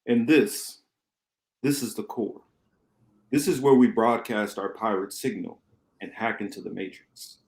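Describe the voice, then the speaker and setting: A man playing a calm, wise rebel ship captain in an amateur radio play, measured and explanatory, recorded in an echoey room. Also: fairly low pitch, creaky. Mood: measured, assured.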